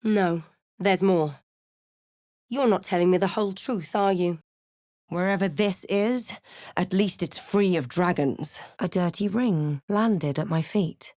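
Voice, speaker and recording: Woman acting as female adventurer, fantasy game, wry, resolute, dramatic measured delivery, slightly low pitch, dry close sound. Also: darkish tone, dry recording, close to an everyday voice. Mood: wry, guarded. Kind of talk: fantasy game voice lines: narration, remarks, incantations.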